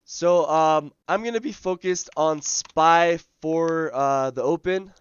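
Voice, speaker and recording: A man hosting a live day-trading stream, his voice scratchy as he loses it, talking through charts in a relaxed way full of ums and uhs. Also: fairly high pitch, takes it slowly, smooth, no echo, dull audio. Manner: relaxed, filler-heavy, thinking aloud. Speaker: day trading livestream host. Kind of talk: live market commentary.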